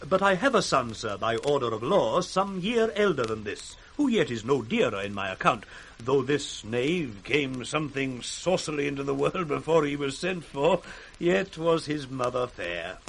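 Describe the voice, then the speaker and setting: A man's voice: medium pitch, big pitch swings, moderate pace, a bit bright, rough recording. An actor playing a bawdy, worldly older British nobleman in a jocular, courtly manner for 1960s radio Shakespeare. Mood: jocular, boastful. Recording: faint hiss, old radio recording.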